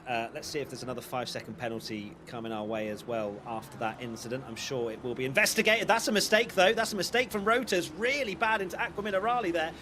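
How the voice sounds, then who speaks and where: A man commentating a live sim race in a brisk, upbeat way. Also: mid-range voice, background noise. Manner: brisk, upbeat, laughs easily.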